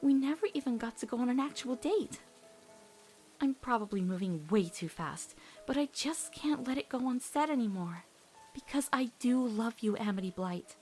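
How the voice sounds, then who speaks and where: A woman voicing an earnest, awkward teenage girl in an emotional cartoon comic dub, heartfelt and halting when nervous. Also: fairly high pitch, animated, darkish tone, faint music behind, lightly acted.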